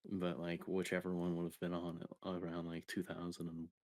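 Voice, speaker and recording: Young male co-host, deep voice, halting conversational delivery, close dry recording. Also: completely flat delivery, a little mellow, no echo. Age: young adult. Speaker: podcast co-host. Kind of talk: casual gaming podcast chat.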